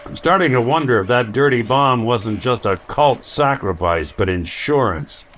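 A man plays a wry, streetwise private eye in a noir radio play. His voice is mid-pitched and world-weary, with faint ambience behind it. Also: animated, normal speed, a bit bright, slight background noise, close to an everyday voice. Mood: grim. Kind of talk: noir radio drama acting.

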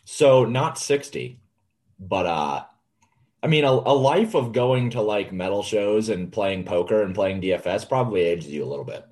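A man's voice: lowish voice, expressive, moderate pace, darkish tone. A man in his late twenties co-hosting a casual livestream chat, laid-back and joking, with lots of fillers. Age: 20s. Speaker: co-host.

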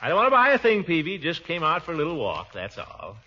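A pompous, good-natured middle-aged American uncle in 1940s radio comedy style. His fairly high voice chuckles and swoops dramatically. The old recording sounds muffled. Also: wildly swooping pitch, fast, pushed and bright, husky, full character voice. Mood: proud, defensive.

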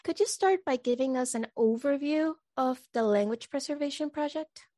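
A woman hosting a community radio interview, friendly and a little nervous and excited. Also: high voice, moderate pace, no echo, clean studio sound. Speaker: podcast host.

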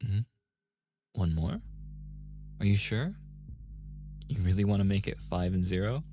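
A man's voice: lowish voice, animated, unhurried, mellow, faint music behind. A man playing a playful, easily flustered young gamer boyfriend in a casual, intimate ASMR roleplay. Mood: playful, affectionate. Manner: casual, intimate, with chuckles and sighs.

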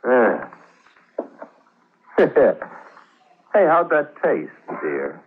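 An actor playing a breezy, needling husband, a broke playboy with a brisk colloquial manner, in a muffled 1940s radio drama. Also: male voice, medium pitch, huge pitch swings, background noise, full character voice. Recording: muffled old radio recording.

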